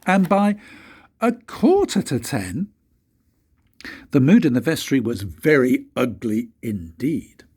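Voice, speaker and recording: An older man reads a children's story like a grandfather, in an expressive, deliberate way with dramatic pauses and a husky voice. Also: mid-range voice. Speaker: grandfather reading stories for his grandchildren.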